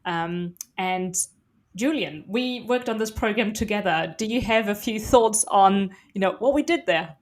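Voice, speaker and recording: A woman from Germany, moderating a live-stream panel in a brisk, encouraging way over a video call. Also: mid-range voice.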